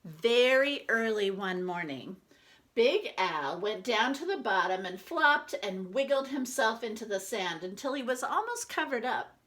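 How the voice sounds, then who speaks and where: Bright, high-voiced woman reading a storybook. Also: big pitch swings. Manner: deliberate, dramatic emphasis with asides to listeners. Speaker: teacher reading a picture book aloud. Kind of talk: expressive children's storybook read-aloud.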